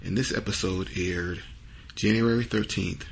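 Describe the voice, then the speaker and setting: A man's voice: deep voice, steady tone, measured pace, creaky, slight background noise. A man hosting, reading a scripted introduction to an episode. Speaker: podcast host. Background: music.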